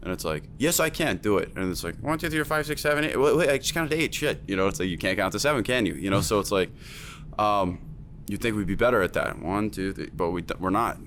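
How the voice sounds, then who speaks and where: Opinionated man with a lowish voice. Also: lively intonation, fast talker, a bit bright, a little hiss or hum. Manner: emphatic, opinionated, lots of fillers. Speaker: music producer and teacher. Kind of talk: casual podcast chat.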